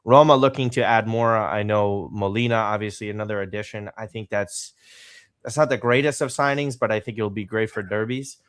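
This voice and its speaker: A male podcast host with a low, smooth, clear voice, talking with energy and rambling through long questions. The sound is dry and close, with no room echo. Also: steady tone, fairly quick. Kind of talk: casual podcast interview.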